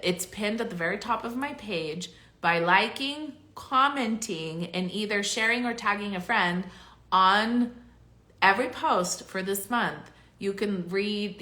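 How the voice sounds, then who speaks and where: A woman hosting a casual live stream as a spiritual coach. She talks in an effusive, rambling way with many fillers, and she sounds slightly raspy and congested. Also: medium pitch, bright, forceful tone, echoey room. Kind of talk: casual livestream monologue.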